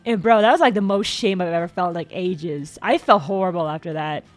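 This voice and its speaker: Rambling young woman livestreamer. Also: brisk. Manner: rambling, repeats words, sudden squeaky jumps in pitch; stumbles over words. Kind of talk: casual stream chat. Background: faint background music.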